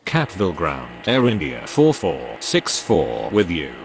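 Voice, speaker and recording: A man voicing a brisk, official airport radio operator in a game, with clipped phraseology. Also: sits low, wildly swooping pitch, gravelly, background noise, heavily acted.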